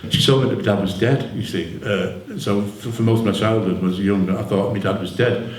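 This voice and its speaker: A man's voice: on the low side, steady tone, fairly quick, a little mellow. A middle-aged man with a Manchester accent telling personal stories to an audience in a candid, rambling, colloquial way. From Manchester, England. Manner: candid, rambling, colloquial, frequent "you know". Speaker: author and musician interviewed at his book launch. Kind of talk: informal personal storytelling to an audience. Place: indoor event hall, on stage. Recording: roomy live venue with background noise.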